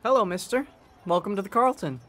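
A woman's voice: mid-range voice, expressive, fairly quick, a bit bright. A woman playing an earnest, polite young bellboy in a 1920s-style radio drama, speaking in a hesitant, eager, deferential way. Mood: eager, shy.

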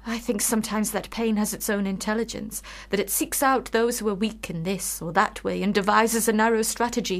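A woman's voice: mid-range voice, close to an everyday voice. An actress playing a passionate, bitter, lovelorn young woman in a radio play, with intense, literary, theatrical delivery.

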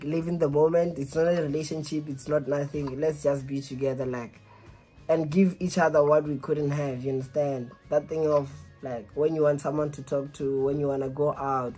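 A man's voice: on the high side, a little mellow, husky. A man in his twenties in a casual phone conversation, hesitant and repetitive, pleading, recorded close up in a small room. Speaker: vlogger making a prank call.

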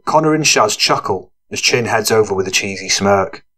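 A man narrates a gritty audiobook. His pitch is a little low, and he reads scripted prose as the storyteller. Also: lively intonation, moderate pace, bright, forceful tone.

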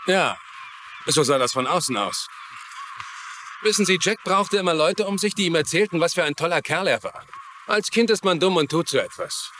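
A man's voice: on the high side, animated, normal speed, a bit bright, slight background noise. An actor playing an earnest working man in a German-dubbed TV mystery drama. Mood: resentful.

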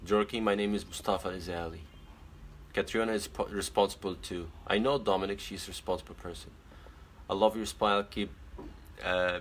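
Earnest young man, Arabic native, lowish voice. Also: a bit bright, slight background noise. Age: young adult. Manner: earnest, advice-giving, halting with fillers. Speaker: pageant titleholder on a live stream. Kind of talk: casual live-stream chat reading viewer comments. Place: small bedroom indoors. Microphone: phone camera at arm's length.

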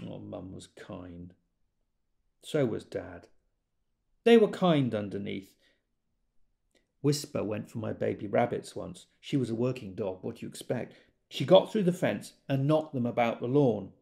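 An actor playing a wry British character in an audio drama, telling memories conversationally and quick to exasperation. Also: male voice, lowish voice, animated, creaky, mellow, heavily acted. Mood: reflective, understated. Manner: conversational, acts out quoted speech. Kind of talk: intimate audio drama monologue.

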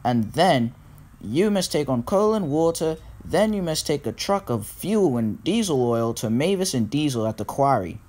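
A man's voice: a little high, big pitch swings, moderate pace, a little mellow. A man voicing a bossy male dockyard manager in a children's story, giving firm instructions. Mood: businesslike.

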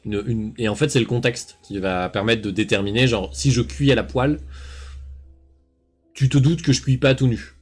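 Husky-voiced man chatting on a cooking stream. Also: medium pitch, moderate pace, soft background music. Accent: southern French, faint. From south-east France. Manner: chatty, rambling, many fillers and restarts. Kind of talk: casual live-stream chat while cooking. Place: home kitchen and small room. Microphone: headset mic.

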